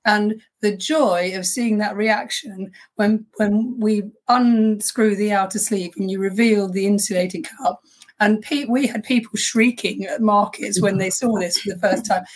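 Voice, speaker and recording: A woman with a slightly husky voice, a small business co-founder answering interview questions in an earnest, reflective way with frequent restarts. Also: medium pitch, steady tone, a little slow, rough recording.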